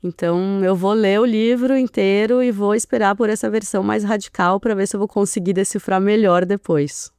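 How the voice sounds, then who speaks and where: Warm friendly Brazilian woman host. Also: mid-range voice, steady tone, normal speed, few pauses, clean tone, no room sound, studio quality. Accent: Brazilian Portuguese. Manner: friendly and appreciative. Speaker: podcast host. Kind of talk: friendly interview hosting.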